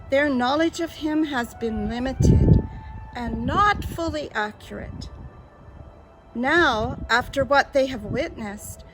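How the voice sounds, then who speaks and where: Casting: female narrator for a solemn drama, high voice, very unhurried delivery. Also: low-quality recording. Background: music.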